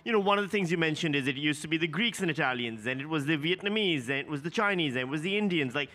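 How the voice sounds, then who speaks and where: A man, interviewing a guest with curious, animated questions and an easy laugh. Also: on the high side, fast talker. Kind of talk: conversational interview.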